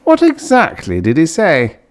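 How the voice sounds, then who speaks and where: A man's voice: on the high side, huge pitch swings, a little slow, pitched above the performer's own voice. A man voicing a probing uncle in an audiobook story, musing and slyly coaxing.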